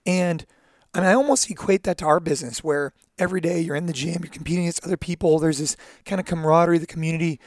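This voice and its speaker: A man with a high voice hosts an interview, talking fast and eagerly as he sets up his questions. The recording is dry and close. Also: dry recording. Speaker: podcast host for gym owners. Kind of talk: interview host.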